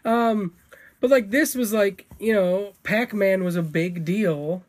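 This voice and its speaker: A man co-hosting a casual podcast chat, laughing often and throwing in quick asides. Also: sits high. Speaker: podcast co-host.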